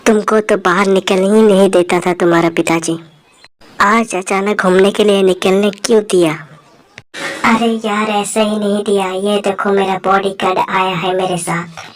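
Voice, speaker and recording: A woman's voice: medium pitch, fairly even intonation, moderate pace, slight background noise, close to an everyday voice. A woman voicing friendly, chatty young women in a cartoon story, speaking informally. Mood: chatty, teasing. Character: friendly, egalitarian young women on a forest outing.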